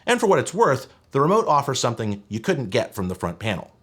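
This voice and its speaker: A man narrates a tech explainer with very lively, swinging intonation. His pitch sits in a middle range. He is emphatic and wry, giving his opinions plainly as he walks through details. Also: fast talker, husky. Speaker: presenter of a retro tech review channel. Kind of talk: semi-scripted explainer video narration.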